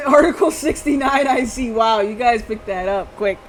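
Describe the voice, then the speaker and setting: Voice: mid-range voice, normal speed. A streamer reading a visual novel aloud and breaking off into playful, chatty asides to chat. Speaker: livestreamer. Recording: background music behind the voice. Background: music.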